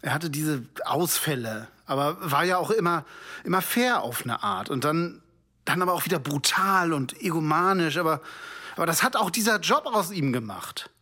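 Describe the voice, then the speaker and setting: An actor playing an emotional, worn-out radio colleague, tearful and halting as he talks. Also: fairly high pitch, animated, pushed and bright, full character voice. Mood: pained, tearful. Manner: halting, repeating words. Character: emotional, worn-out long-time male radio colleague, close to tears.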